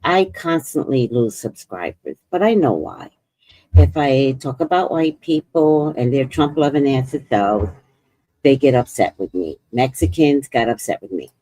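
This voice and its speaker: A woman's voice: rumbling low, lively intonation, warm tone, poor audio. A woman vlogger giving blunt, profane commentary as she reacts to clips. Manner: outspoken, profane, drawn-out emphatic delivery. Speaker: vlogger host.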